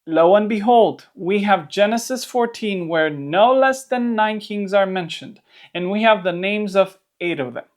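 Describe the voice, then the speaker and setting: Romanian accent, non-native English speaker, scripted lesson narration, explanatory, playful asides, mid-pitched voice. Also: a little slow. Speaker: host of a Bible history channel.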